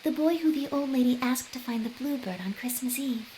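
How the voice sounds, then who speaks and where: An actress playing a gentle, earnest young woman in a video game, wistful and inquisitive. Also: high voice, fairly quick, slight background noise, lightly acted, low-quality recording. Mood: probing, insistent. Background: game music.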